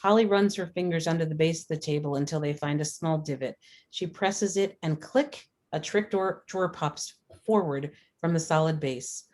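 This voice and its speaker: Deep-voiced woman reading stage directions. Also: normal speed, a little mellow. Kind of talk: scripted narration at a table read.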